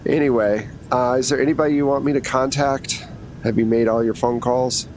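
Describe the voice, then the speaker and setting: A man's voice: mid-range voice, a little fast, lightly acted. A man voicing an easygoing, wry jailhouse lawyer in casual, frank tones for an improvised tabletop roleplay. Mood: practical. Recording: steady background hum and noise.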